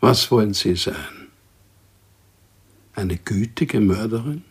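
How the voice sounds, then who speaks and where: An actor playing a calm, dangerous archivist who organises killings, speaking in a measured, formal way in a radio play. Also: male voice, fairly low pitch, noisy background. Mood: sceptical, questioning. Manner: measured, formal, matter-of-fact. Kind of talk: radio play acting and first-person narration. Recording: music behind the voice.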